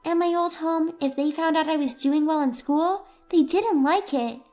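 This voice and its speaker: A woman voicing a smug, bright young schoolboy who is bored by easy studies, in storybook dialogue. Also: sits high, moderate pace, soft background music, pitched above the performer's own voice, natural voice. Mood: matter-of-fact.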